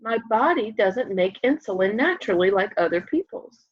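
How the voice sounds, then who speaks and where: Clear-voiced woman reading aloud, slightly muffled. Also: mid-range voice, lively intonation, normal speed. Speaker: storybook reader. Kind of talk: children's book read-aloud. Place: room at home, by a window. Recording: slightly dull, lo-fi home webcam sound.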